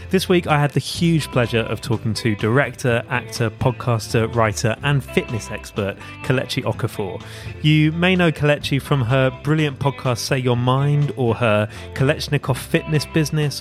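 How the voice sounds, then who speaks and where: A man hosting a podcast, reading an enthusiastic intro and then interviewing a guest remotely. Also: medium pitch. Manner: upbeat, enthusiastic. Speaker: podcast host.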